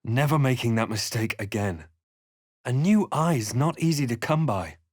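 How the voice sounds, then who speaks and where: An actor playing a grave, measured fantasy adventurer in a video game, narrating with solemn, deliberate theatricality. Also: male voice, mid-range voice, expressive, darkish tone, no echo, studio quality, lightly acted. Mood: wry, curt. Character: measured, grave male fantasy adventurer recalling his journey. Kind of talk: scripted game narration and character lines.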